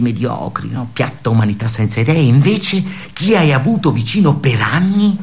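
An actor playing a bitterly sardonic office worker in a theatrical radio drama monologue, pensive and pausing. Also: male voice, mid-range voice, husky. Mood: bitter, accusing. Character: bitterly sardonic male office worker brooding on colleagues' suicides.

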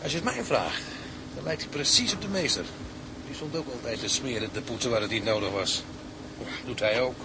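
A man's voice: medium pitch, lively intonation, brisk, lightly acted. Actor as a teasing crewman, noisy track. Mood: amused. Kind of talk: radio drama acting. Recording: noisy drama scene.

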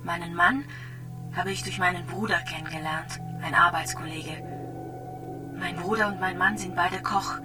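An actress playing a gravely ill young mother in a radio drama, resigned, wounded and plainly confiding. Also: on the low side, fairly even intonation, moderate pace, natural voice. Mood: resigned, wistful. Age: young adult. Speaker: hospital patient. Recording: distant from the mic, music behind.

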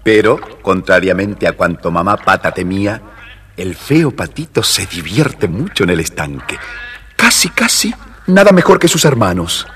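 A man narrating a scripted children's story in an expressive, measured way. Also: low-pitched.

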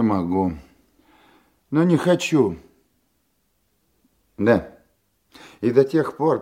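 An actor playing a seasoned older police chief in a Russian radio drama, a fatherly mentor who speaks in a measured, earnest, reassuring way. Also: mid-range voice, mellow.

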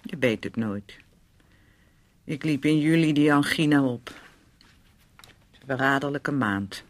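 A man's voice: mid-range voice, slow, close to an everyday voice. An actor in a radio drama playing a fussing, gossipy older man with a fretful, insinuating manner. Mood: fussing, cautioning.

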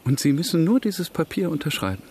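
An actor in a German radio drama playing a courteous, curious American gentleman, gently flirtatious and politely probing. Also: fairly high pitch, big pitch swings, brisk, slight background noise.

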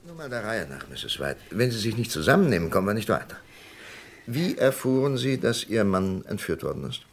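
A calm, authoritative FBI investigator. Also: male voice, fairly low pitch, fairly quick, vocal fry, a little hiss or hum. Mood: stern. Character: shrewd male FBI investigator, firm and calm. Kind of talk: 1960s German radio play acting.

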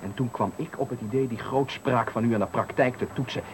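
An actor playing a menacing, coolly polite stranger who taunts and gives orders, in radio thriller acting. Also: male voice, mid-range voice, brisk, natural voice. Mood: smug, taunting. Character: menacing male stranger, sadistic trickster at a bus stop. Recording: noisy 1980 radio play recording.

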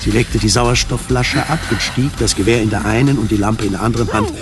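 A man's voice: fairly low pitch, a little fast. A man reading scripted narration for a radio drama. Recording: loud music underneath. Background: loud music.

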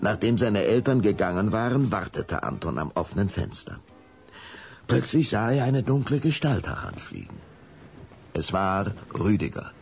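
A man's voice: on the low side, sing-song, moderate pace, creaky, darkish tone, faint music behind. A man narrating a children's audio drama in a dramatic, suspenseful storytelling manner.

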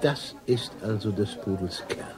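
An actor playing a pompous, quarrelsome know-it-all at the pub in a radio drama, mocking and theatrical. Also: male voice, on the low side, a little slow, crowd noise, close to an everyday voice. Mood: indignant, mocking.

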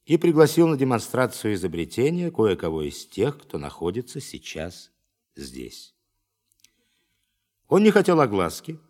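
An actor playing a forceful science official who addresses a meeting formally and emphatically in a radio play. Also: male voice, lowish voice.

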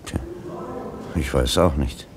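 A man's voice: very deep voice, slow, a little hiss or hum. A man acting a cautious, fearful bystander in a 1960s radio play, speaking in a hesitant, placating way. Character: cautious, fearful Viennese bystander, relaying a speech.

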